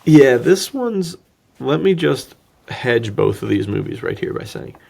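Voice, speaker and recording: Very gravelly, husky man bantering on a podcast. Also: medium pitch, very animated, measured pace, a little mellow. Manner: joking, laughs easily. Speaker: podcast co-host. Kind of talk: casual film discussion banter.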